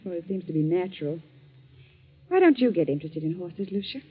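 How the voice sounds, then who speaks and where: An actress playing a confident, blunt horsewoman in a 1940s radio drama, brisk in manner. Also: on the low side, expressive, husky. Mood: brisk, scornful. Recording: dull old 1940s radio recording.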